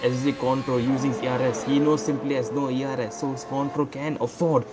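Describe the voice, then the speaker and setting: Hoarse excitable race commentator, man. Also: medium pitch, a little fast. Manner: excitable, blunt, talks over co-host. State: hoarse, losing his voice. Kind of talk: live sim-racing commentary. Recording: game sounds and music behind. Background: racing game engine noise and music.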